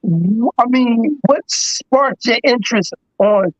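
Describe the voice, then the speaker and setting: A man's voice: very high voice, animated, slow, no echo, muffled recording. A man in his fifties asking questions on a video call, hesitant and restarting his sentences. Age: 50s. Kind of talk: casual live-stream chat.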